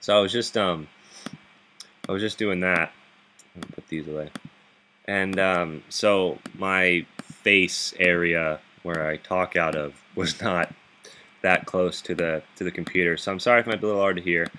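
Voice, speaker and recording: Very deep-voiced man chatting while gaming. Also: fairly even intonation, normal speed, bright, forceful tone, slight background noise. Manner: rambling, chuckling, many fillers and restarts. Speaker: gaming video host. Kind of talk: casual gameplay commentary. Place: bedroom, sitting up in bed. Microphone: laptop microphone.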